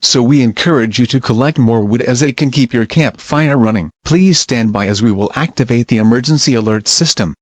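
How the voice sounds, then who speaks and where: A man's voice: mid-range voice, a little fast, few pauses, slight background noise, close to an everyday voice. A man playing a formal, cautioning radio weather reporter reading a scripted bulletin.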